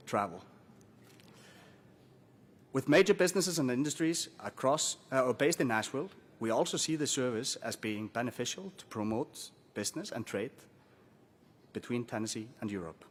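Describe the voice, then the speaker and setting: A man from Iceland presents as a company executive at a formal announcement. His delivery is that of a prepared business speech to an audience. His voice sits at a medium pitch for a man. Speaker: airline chief commercial officer.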